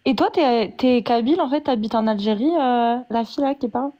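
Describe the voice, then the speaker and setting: A woman's voice: on the high side, fairly quick, warm tone, lo-fi sound. A woman with a Paris suburban accent, a direct and questioning guest in a casual live chat.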